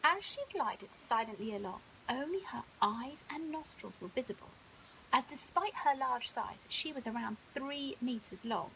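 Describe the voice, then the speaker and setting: Expressive, high-voiced woman reading a bedtime story. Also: normal speed, creaky, low-quality recording. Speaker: storyteller, primary school teacher. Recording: audible hiss.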